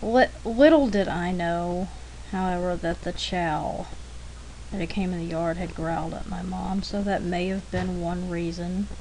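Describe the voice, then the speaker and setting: Female art demo narrator, unhurried, chatty and self-deprecating, mid-range voice, some background noise. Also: lowish voice, steady tone, darkish tone, rough recording. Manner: chatty, self-deprecating, pausing to think aloud. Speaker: artist narrating own drawing demo. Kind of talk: casual rambling art demo commentary.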